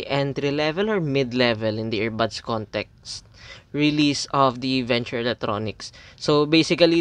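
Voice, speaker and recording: A man's voice: mid-range voice, a bit bright, clean tone, dry recording. Hesitant Filipino man reviewing earbuds. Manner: hesitant, many fillers and restarts. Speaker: audio reviewer. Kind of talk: casual product review.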